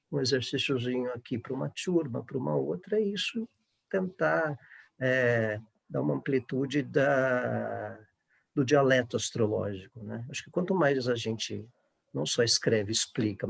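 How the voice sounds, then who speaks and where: A man's voice: medium pitch, animated, measured pace, creaky, breathy edge. A middle-aged Brazilian man speaking informally in a panel discussion, in a discursive way that often seeks agreement.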